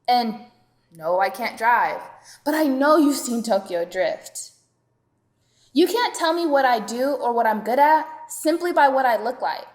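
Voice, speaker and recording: A woman's voice: fairly high pitch, animated, measured pace. A woman performing spoken-word poetry with a rhythmic, assertive and defiant delivery. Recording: roomy echoing space.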